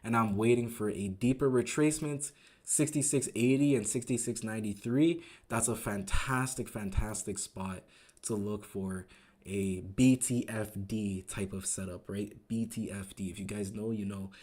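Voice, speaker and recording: A man hosting a trading live stream, recapping the market in casual, upbeat talk that keeps asking "right?", his voice growing a little raspy. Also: fairly low pitch, normal speed, a little mellow. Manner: enthusiastic, repeats words, asks "right?" often. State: voice getting raspy.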